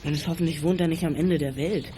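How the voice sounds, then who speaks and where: A man's voice: fairly high pitch, fairly even intonation, fast, lightly acted. A male actor playing a young boy detective on a stakeout in a children's audio drama. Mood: hushed curiosity. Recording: noisy outdoor drama ambience.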